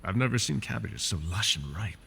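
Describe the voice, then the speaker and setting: A man voicing a wounded male dragon who keeps a human captive, soft-spoken and polite, often slipping into whispers, for an ASMR fantasy roleplay. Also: lowish voice, expressive, brisk, creaky, slightly rough, full character voice. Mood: tender. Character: wounded male dragon, possessive yet doting captor.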